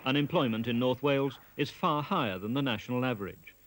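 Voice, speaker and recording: A man reading documentary narration in a measured, matter-of-fact voice. His pitch sits in the middle range for a man. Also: heavy background noise. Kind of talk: scripted narration.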